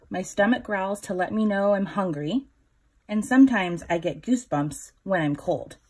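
A woman in her thirties reading a children's picture book aloud, instructive, pausing to put questions to young listeners. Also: mid-range voice, darkish tone, slightly rough. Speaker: children's story time reader.

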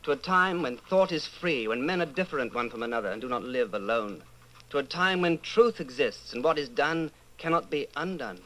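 An earnest, troubled man played by an actor with a mid-pitched voice. He sounds like a solitary secret rebel in a radio-style drama. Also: expressive, moderate pace, a bit bright, natural voice, low-quality recording. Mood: brooding. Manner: earnest, brooding. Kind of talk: old time radio style drama acting.

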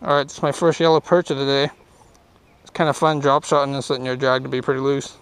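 A young man casually commenting while fishing from a small boat on a lake, easygoing and upbeat, getting excited over each catch. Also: mid-range voice, quick pace, smooth. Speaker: fishing vlogger. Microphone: action camera on the boat.

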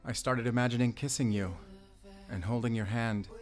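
A man's voice: medium pitch, fairly even intonation, normal speed, faint music behind, natural voice. A man playing a lovestruck, vulnerable divorced father in an intimate audio roleplay, speaking in a hesitant, confessional way. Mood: yearning.

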